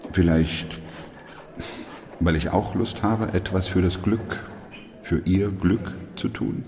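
An actor playing a weary, sober doctor and narrator in a German radio play, speaking in a measured, restrained way. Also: male voice, sits low, a little mellow, a little hiss or hum. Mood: quiet, resigned. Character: weary, sober male doctor who narrates a plague chronicle.

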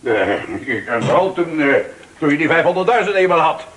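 A man's voice: fairly high pitch, lively intonation, a bit bright, a little hiss or hum. An actor playing an aggrieved old pensioned sergeant and father in a Dutch radio play, blustering and stumbling over his words. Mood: indignant. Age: older. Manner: blustering, halting with many hesitations.

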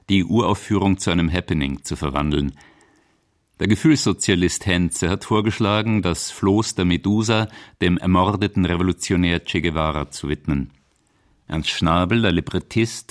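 A man delivering scripted documentary narration for a radio feature. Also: sits low.

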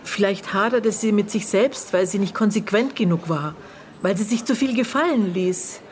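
An actress playing a middle-aged, bitter and guarded aunt in a naturalistic radio drama, speaking in terse, halting phrases as she searches her memory. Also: mid-range voice, close to an everyday voice. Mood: pensive. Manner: terse, halting, broken phrases with thoughtful pauses.

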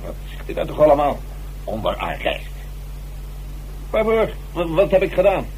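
Haughty, high-voiced, mellow stage actor. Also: male voice, huge pitch swings, normal speed. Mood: indignant, startled. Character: vain, indignant male stage actor accused of crimes. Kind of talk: radio drama acting. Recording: humming, noisy radio play recording.

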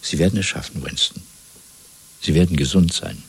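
An actor playing a cold, fanatical interrogator in a radio play, deliberate and patronising, with a gravelly voice that often sinks to a whisper. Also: male voice, low-pitched, exaggerated voice. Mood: cold domination. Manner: deliberate, patronising, swinging between soft and commanding. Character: cold, fanatical male Party interrogator and torturer. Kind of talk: 1970s German radio play acting.

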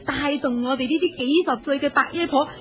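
An actress plays an old-fashioned older woman in a Cantonese radio drama, with a Hong Kong accent. Her voice is very high for a woman. She chats excitably and is full of exclamations. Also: quick pace, a loud crowd, close to an everyday voice. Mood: amused, admiring. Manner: exclamatory, chatty.

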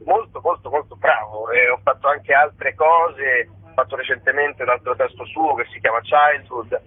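A man's voice: medium pitch, normal speed, breathy edge. A middle-aged man, chatting casually as a radio guest and searching for words. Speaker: actor interviewed as guest. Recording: tinny phone line with echo.

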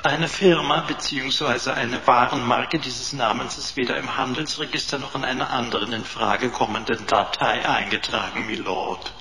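An actor playing a pedantic computer butler in a radio play, servile in wording yet snootily mocking, pompous and over-formal. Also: male voice, medium pitch, steady tone, moderate pace, hardly any pauses, a crowd in the background, close to an everyday voice. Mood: formal reporting.

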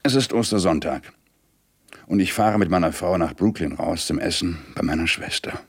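An actor playing a hard-boiled, shaken New York police detective in a radio crime drama, weary and angry, in terse, measured phrases. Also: male voice, dry recording, studio quality. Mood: brooding, nostalgic. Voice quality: deep, gravelly. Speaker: actor, narrator and lead. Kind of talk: radio crime drama, intimate first-person monologue and dialogue.

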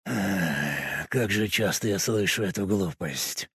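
Male reader doing a zealot cultist: slow, rasping, hushed, preachy. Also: expressive, no room sound, clean studio sound, heavily acted. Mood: solemn preaching. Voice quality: low, creaky, husky murmur. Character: fanatical male cult adept, calm, solemn. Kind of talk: audiobook character voice.

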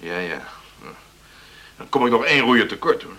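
A man acting a gruff, stubborn, seasoned boat skipper in a Dutch radio drama, blunt and grumbling. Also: medium pitch, animated, moderate pace, pushed and bright. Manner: blunt, grumbling, barking commands when at sea.

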